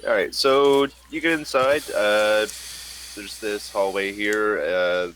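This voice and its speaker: Male game master, low voice, halting improvised narration, noticeable background noise. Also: a bit bright, low-quality recording. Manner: halting, searching for words.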